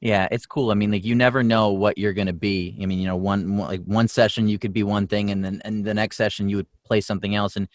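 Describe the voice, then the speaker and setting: A man's voice: lowish voice, flat delivery, fast, hardly any pauses, dry recording, studio quality. A man, a voice actor, chatting casually on a panel in a rambling, laid-back way.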